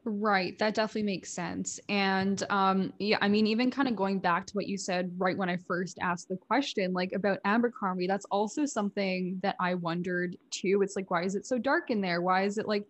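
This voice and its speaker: A woman's voice: medium pitch, fairly even intonation, moderate pace, hardly any pauses. A woman hosting a casual interview, upbeat and encouraging toward her guest. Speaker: podcast host.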